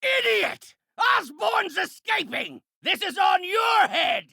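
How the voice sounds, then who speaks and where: An older male supervillain scientist from a video game, furious and paranoid. He rants theatrically in a strained, forceful shout with gravel in it. His pitch is very high and swings wildly. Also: wildly swooping pitch, slow pace, no echo, studio quality, full character voice. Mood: enraged. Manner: theatrical, ranting. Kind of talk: dramatic video game voice acting.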